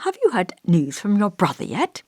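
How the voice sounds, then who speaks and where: A woman's voice: medium pitch, wildly swooping pitch, moderate pace, darkish tone, dry recording. A woman voicing an elderly male village vicar in an audiobook, kindly, long-winded and fussy, with a pompous, old-fashioned, musing manner. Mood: earnest, reproving. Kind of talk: audiobook character dialogue.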